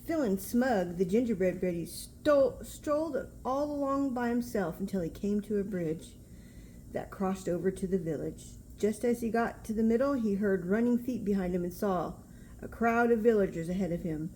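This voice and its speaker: An older woman with a mellow, soft-edged voice reads a picture book aloud to a grandchild. She is homely and chatty, at a middling pitch, with faint noise in the background. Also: animated, moderate pace, low-quality recording. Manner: homely, chatty, pointing things out. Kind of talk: storybook read aloud with asides to a child.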